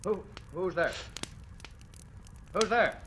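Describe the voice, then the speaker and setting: A man's voice: high voice, slow. An actor playing a drowsy, easygoing husband and father in a 1940s radio drama. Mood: startled. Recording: old radio recording, loud music.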